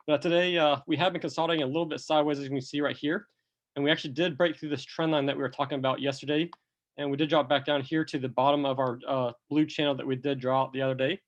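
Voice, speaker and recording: A man's voice: a little high, steady tone, highs cut off. Slightly sick man walking through charts. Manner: unhurried, talks through charts step by step. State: a bit sick with a cough. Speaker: crypto chart analyst. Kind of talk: screen-recorded chart walkthrough.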